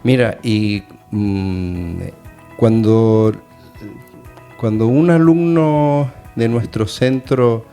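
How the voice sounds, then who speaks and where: A man with a lowish voice hosts an educational radio segment at an unhurried, searching pace, often pausing on fillers as he gathers his thoughts. Soft music plays faintly under his voice. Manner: hesitant, thoughtful, many fillers. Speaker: radio host and adult education teacher.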